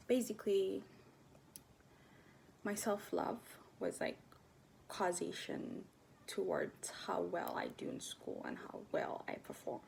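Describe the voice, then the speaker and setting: A woman in her twenties from Ethiopia talking casually to her camera, soft-spoken and hesitant, often dropping to near-whispers. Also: medium pitch, vocal fry, slight background noise, poor audio. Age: 20s. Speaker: vlogger. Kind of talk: casual personal talk to camera.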